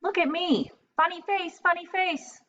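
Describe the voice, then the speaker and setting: A woman's voice: very high voice, a little slow, fairly bright tone, muffled recording, a little hiss or hum, voice pushed up. A woman voicing a friendly little male chameleon who is eager to be noticed, as in a picture-book read-aloud. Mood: eager, showy.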